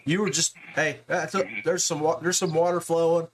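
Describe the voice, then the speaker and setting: Higher-pitched man hosting a casual podcast. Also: little pitch movement, normal speed, a little hiss or hum. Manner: informal, rambling, joshing. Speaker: podcast host. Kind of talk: casual interview.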